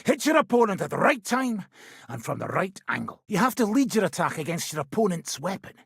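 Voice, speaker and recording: An actor playing a brash, hard-drinking medieval fighter and combat trainer in a video game, gruff and taunting, with a raspy, gravelly voice. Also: male voice, high voice, big pitch swings, a little fast, a bit bright, no room sound, studio quality, full character voice. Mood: instructive.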